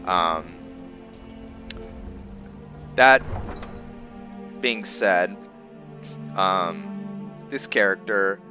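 A man with a low, bright voice commentates a roleplay video game. He talks slowly and muses with frequent pauses. Game music plays faintly behind him. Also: highs cut off. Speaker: gameplay video host. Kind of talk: roleplay game commentary with some reading aloud.

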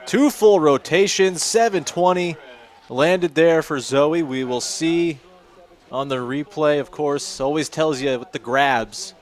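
A man's voice: fairly high pitch, slow pace. A man doing live play-by-play commentary at a ski event, steadily reading out scores and tricks. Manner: steady, informative, reads out scores.

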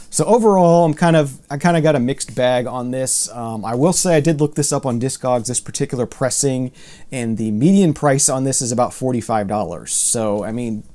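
A man in his thirties talks through a casual record review, his voice mellow and warm at a mid pitch. He rambles with fillers and false starts, now and then mildly exasperated. He sits at desk distance from the camera in a room full of record shelves. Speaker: vinyl collector vlogger.

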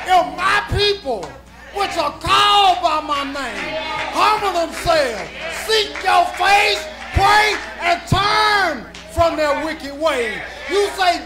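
A man with a very high voice leads a congregation in prayer, his words slow and drawn out. He is impassioned and often shouts, punctuating phrase after phrase with calls to the Lord. Music plays clearly behind him in the church. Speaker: prayer leader. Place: church service. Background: music playing.

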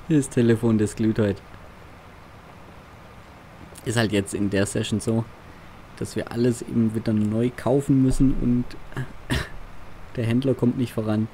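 Young man, low voice, warm dark tone, relaxed gameplay chatter, faint noise. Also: slow, long pauses. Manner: chatty, laughs between phone calls. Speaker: let's play roleplay host. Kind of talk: casual gameplay commentary.

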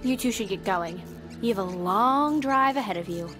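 A woman's voice: mid-range voice, lively intonation, moderate pace, music in the background, lightly acted. A woman in an audio drama playing a proud, headstrong spaceship crew leader with an insistent, commanding manner. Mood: resolute.

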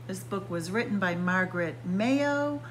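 A woman storyteller reading a picture book aloud to young children in an expressive sing-song way, drawing out and stressing words. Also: medium pitch, a little slow, a little hiss or hum, poor audio. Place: library room with bookshelves, indoors.

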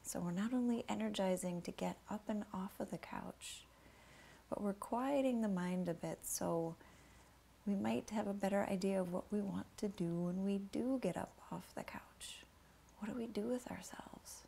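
Breathwork instructor, woman, mid pitch, slightly gravelly, calm unhurried coaching with frequent whispers. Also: a little hiss or hum. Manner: slow soothing coaching, often whispered. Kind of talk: guided breathing meditation.

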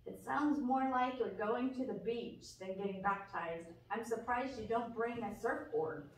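A woman's voice: a little high, a little slow, natural voice. Woman as a sensible puppet kid in an echoey room. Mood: skeptical. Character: sensible, dry, know-it-all young puppet friend. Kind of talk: children's church puppet show. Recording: echoey, noisy room, distant mic.

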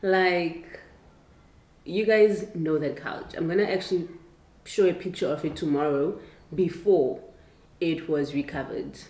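A woman's voice: low voice, a little slow, long pauses, darkish tone. A young South African woman chatting casually to the camera as a lifestyle vlogger at home. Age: young adult. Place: indoors at home, kitchen and bedroom. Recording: roomy echo, clear background noise.

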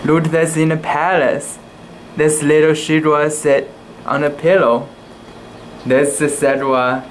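A man's voice: a little high, level tone, slow, a little hiss or hum, low-quality recording. A young man reading a children's book aloud dramatically with little asides, outdoors, into a close camera. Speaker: storybook reader.

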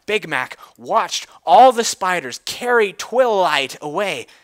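Male narrator, bright and forceful, highly varied pitch, mock-dramatic storybook delivery. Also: sing-song, slow.

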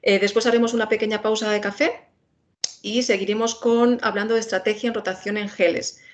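A woman's voice: medium pitch, fairly even intonation, fairly quick, highs cut off. A woman with a Spain Spanish accent moderating an online webinar in a friendly manner, with many filler sounds. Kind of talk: webinar introduction.

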